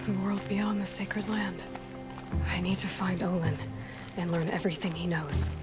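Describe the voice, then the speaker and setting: A woman's voice: on the low side, fairly even intonation, normal speed, lightly acted. A woman playing a determined, dry-witted young outcast huntress in a video game. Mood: determined, musing. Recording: loud game music behind. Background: music.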